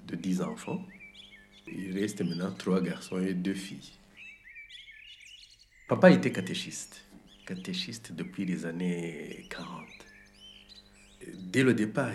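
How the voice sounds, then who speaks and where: Middle-aged man, Rwandan accent, low voice, reflective personal testimony, deliberate phrasing over audible background noise. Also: a little mellow. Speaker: interviewee, survivor giving testimony.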